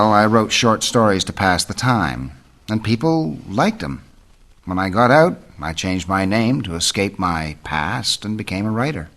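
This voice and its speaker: An actor playing an affable, plain-spoken writer and sidekick in an old-time radio mystery, with an expressive delivery. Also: male voice, lowish voice. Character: affable male writer with an outlaw past, sidekick.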